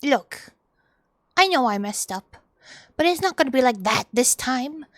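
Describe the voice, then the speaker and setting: A woman voicing an eager teddy bear companion in a game, coaxing and reassuring in drawn-out theatrical phrases. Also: high voice, animated, fairly bright tone, no echo, studio quality. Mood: insistent. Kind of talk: video game character dialogue.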